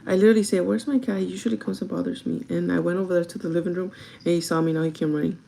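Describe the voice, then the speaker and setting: A young woman with a gravelly, groggy voice chats casually and directly to her viewers, giving advice in an informal vlog style. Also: lowish voice, steady tone, very fast, mellow, slight background noise. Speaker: vlogger chatting while doing makeup.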